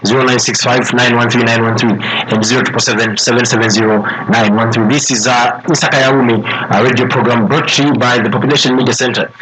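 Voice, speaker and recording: A man with a Zambian accent hosting a live radio phone-in in a measured, formal announcing way with deliberate pauses. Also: mid-range voice, fairly even intonation, rough recording. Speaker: radio host. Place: radio studio. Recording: radio broadcast with background chatter.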